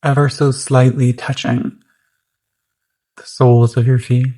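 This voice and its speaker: A meditation guide speaking gently and soothingly, unhurried, with long pauses between phrases. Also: mid-range voice, level tone.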